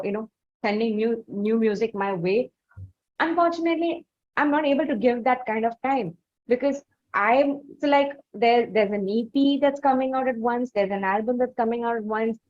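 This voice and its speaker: A woman hosting a conversational interview, speaking in wordy, formal, deliberate phrases. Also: on the high side, darkish tone, highs cut off. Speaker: interviewer, podcast host.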